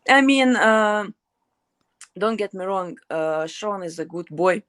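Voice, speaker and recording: A woman's voice: mid-range voice, animated, takes it slowly, a bit bright, no echo, studio quality. Blunt woman with a Ukrainian accent. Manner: blunt and outspoken, broken English. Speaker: guest fighter. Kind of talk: casual interview.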